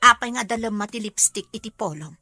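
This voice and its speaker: A woman playing a watchful, questioning wife and mother in a radio drama, speaking in a measured, pointed way. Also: mid-range voice, sharp tone, soft background music. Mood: suspicious, controlled. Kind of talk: Ilocano radio drama acting.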